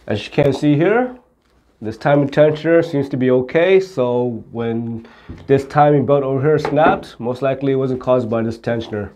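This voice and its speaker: A middle-aged man teaches auto repair in a plain, hands-on manner. His voice is mid-pitched and very mellow, without much edge. He goes step by step, and the sound is a little dull. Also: normal speed, a little hiss or hum. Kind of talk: hands-on instructional explanation to camera.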